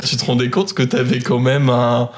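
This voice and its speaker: A man's voice: medium pitch, level tone, moderate pace, faint music behind. A man hosting a podcast, asking curious questions in a playful way. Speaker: podcast host. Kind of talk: casual interview.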